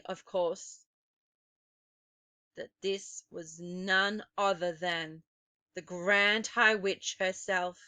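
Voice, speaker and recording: A woman narrating a children's story aloud in an expressive, deliberate and suspenseful way. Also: medium pitch, no echo. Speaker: teacher reading a children's novel aloud.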